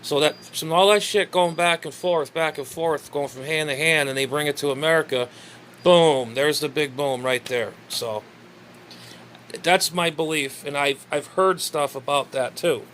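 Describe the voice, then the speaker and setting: A man's voice: a little high, a little slow, fairly bright tone, a little hiss or hum. A man chatting casually and bluntly as he rambles through a home video as a radio hobbyist. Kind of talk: casual rambling vlog chat. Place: messy home radio shack, indoors.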